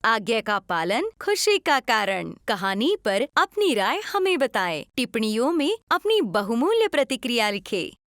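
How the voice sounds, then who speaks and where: A woman tells a children's story from a script. Her voice is fairly high and very animated, captured close with almost no room sound. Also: unhurried, hardly any pauses, dry recording.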